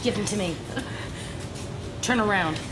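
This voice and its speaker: A woman playing a dangerous young woman who gives curt, low, threatening commands. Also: unhurried, very noisy, natural voice. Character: defiant, dangerous young woman escaping captivity.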